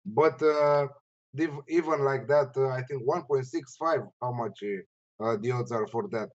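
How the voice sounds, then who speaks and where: Halting Romanian-accented man giving betting tips. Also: mid-range voice, lo-fi sound. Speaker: betting tipster and panelist. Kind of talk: casual sports betting analysis.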